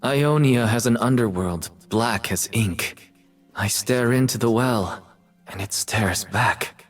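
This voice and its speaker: An actor playing a somber, haunted artist mage in a video game, speaking in measured, poetic lines. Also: male voice, mid-range voice, faint music behind. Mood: melancholy, somber. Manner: slow, measured, poetic delivery.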